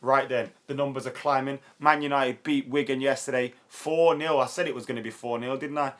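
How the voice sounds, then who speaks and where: A young man with a hoarse, worn voice, hosting a live football chat stream, chatty and excitable and quick to laugh. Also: mid-range voice. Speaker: YouTube football channel host.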